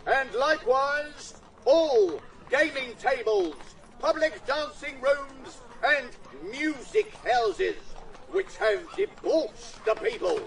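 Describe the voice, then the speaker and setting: Pompous town crier, very high male pitch, slow declaiming, loud period proclamation, radio drama. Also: full character voice, low-quality recording. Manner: declamatory, drawn-out delivery.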